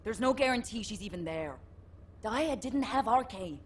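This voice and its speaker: An actress playing a level-headed military captain in a sci-fi game, speaking carefully and placatingly. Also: female voice, fairly high pitch, animated, normal speed, slight background noise, natural voice. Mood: doubtful. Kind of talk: dramatic game voice acting.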